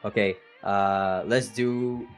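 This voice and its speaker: A man's voice: lowish voice, steady tone, takes it slowly, faint music behind. A young man from Montreal with a Montreal French-Canadian accent, chatting casually and rambling as a livestream host, laughing often and talking to his chat.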